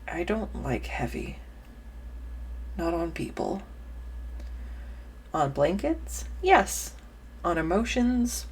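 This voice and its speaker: An awkward, kind-hearted young woman, acted by a woman in an intimate audio roleplay, talks in a low, slow voice. She hesitates, corrects herself and rambles earnestly, close to the mic. There is some faint noise behind her. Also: very animated, full character voice. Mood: gentle, hesitant. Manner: nervous rambling, halting, self-correcting, earnest. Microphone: close USB condenser microphone.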